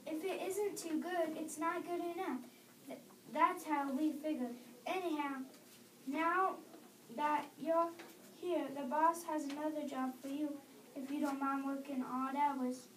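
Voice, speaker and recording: Child playing high-voiced heavenly sign-painter man. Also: steady tone, measured pace, fairly bright tone. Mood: approving. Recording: echoey, distant microphone, background noise.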